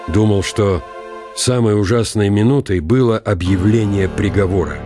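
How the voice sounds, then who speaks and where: An actor playing an aging military count, proud and brooding, in a deliberate, reflective radio drama monologue. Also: male voice, fairly low pitch, lightly acted. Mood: grave, haunted. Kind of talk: dramatized literary reading with inner monologue. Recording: studio radio drama with music behind.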